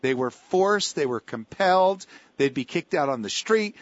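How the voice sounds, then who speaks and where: A man's voice: a little high, animated, normal speed, dry recording, lo-fi sound. A man hosting a talk radio show, talking with callers and guests in an emphatic, preacher-like way with a lot of repetition.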